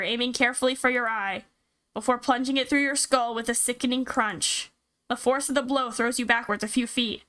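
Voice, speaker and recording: A woman streaming a game, reading the story aloud and breaking in with excitable reactions. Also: on the high side, smooth. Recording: loud music behind the voice. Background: loud game music.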